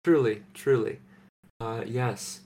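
A young Canadian man co-hosting a podcast from home, deadpan and mock-serious, with halting restarts. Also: medium pitch. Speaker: podcast co-host. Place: home, remote recording.

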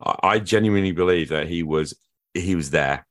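Male podcast host, rumbling deep register, reflective and searching. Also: brisk. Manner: reflective, earnest musing. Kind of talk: conversational interview.

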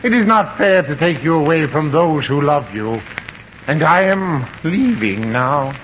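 An actor playing a lovestruck, flowery suitor, in 1940s radio sitcom style. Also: male voice, a little high, animated, a little slow. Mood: mournful, florid. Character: lovestruck, flowery, melodramatic Middle Eastern suitor. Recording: noisy, dull old radio broadcast recording.

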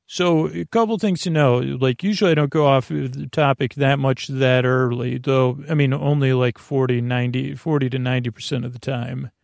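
A man hosting a sleep podcast in a creaky voice, meandering haltingly through tangents and interrupting himself. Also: mid-range voice, fairly even intonation, a little mellow, no echo, studio quality. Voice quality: creaky, gravelly. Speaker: podcast host. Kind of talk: rambling sleep-podcast monologue.

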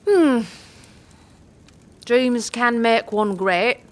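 A woman's voice: fairly high pitch, lively intonation, very slow. A woman voicing a squat, grey old midwife with a cackling laugh and folksy, old-fashioned speech. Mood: wise. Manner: folksy archaic speech, spits and scolds. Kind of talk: audio drama acting.

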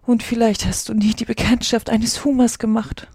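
A woman's voice: fairly high pitch, little pitch movement, normal speed, darkish tone, slightly rough, no echo, studio quality, voice pushed up. A woman voicing a polite, timid and curious young girl in a storybook reading. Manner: polite, hesitant.

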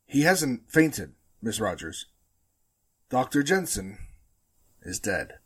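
A man's voice: mid-range voice, sing-song, slow, slightly rough, heavily acted. A man acting a grim, shaken radio studio man in a 1940s-style radio drama, blunt and somber. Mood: grave.

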